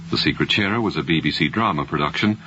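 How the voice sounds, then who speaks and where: A man's voice: a bass voice, quick pace. A man reading cast credits as a radio announcer, in a formal scripted broadcast style. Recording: muffled radio broadcast, faint noise.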